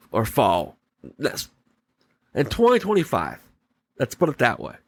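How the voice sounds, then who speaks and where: A man's voice: mid-range voice, dry recording. Man podcasting in a very dry recording. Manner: opinionated, informal. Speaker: podcast host. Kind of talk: casual solo podcast commentary.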